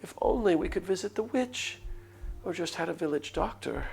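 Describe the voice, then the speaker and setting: Man putting on a doting old village woman, high-pitched. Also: lively intonation, creaky. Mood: tender, worried. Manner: gentle, motherly, drawn-out words. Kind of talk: visual novel character read aloud.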